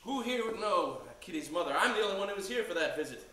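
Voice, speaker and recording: An actor playing a panicky, vain, shifty prison guard in a comic old-time radio play, flustered and quick to protest. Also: male voice, sits high, animated, fairly bright tone. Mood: nervous, pleading. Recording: roomy stage echo, distant mic, faint noise.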